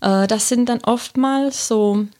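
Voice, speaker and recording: A woman's voice: on the high side, takes it slowly, dark tone. A woman with a Palatinate German accent, co-hosting a casual podcast about the Bible and thinking aloud with fillers and restarts. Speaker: podcast co-host.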